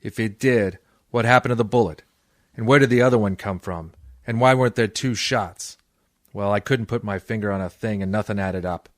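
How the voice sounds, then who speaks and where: A man playing a wisecracking, cynical hard-boiled private detective, sardonic and deadpan in old-time radio style. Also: fairly low pitch, brisk, no room sound, studio quality, close to an everyday voice. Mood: wry, weary narrating.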